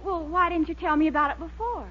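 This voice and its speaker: A woman's voice: very high-pitched, fast talker, lo-fi sound. A woman playing a sweet, admiring young girlfriend in a 1940s radio comedy, gushing and encouraging. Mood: sweet, curious. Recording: old radio recording, loud audience, hiss.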